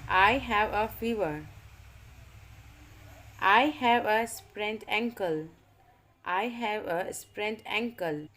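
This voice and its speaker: A woman's voice: medium pitch, a bit bright, slight background noise, low-quality recording. A woman teaching English vocabulary, reading each simple sentence twice for learners to repeat. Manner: reads each sentence twice, measured and deliberate. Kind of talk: slow repeat-after-me vocabulary drill.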